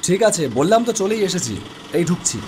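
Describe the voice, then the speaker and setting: A man's voice: high voice, big pitch swings, fast talker, a little mellow, slight background noise. A man voicing an irritable, sarcastic young unemployed man in a cartoon, with a West Bengal Bengali accent. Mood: eager. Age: young adult. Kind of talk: animated cartoon dialogue.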